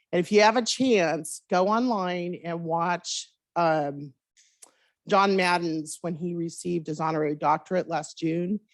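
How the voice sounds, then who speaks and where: A woman's voice: fairly low pitch, dry recording. A middle-aged woman speaking informally and earnestly to a conference, in a conversational way. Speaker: industry speaker at a college conference.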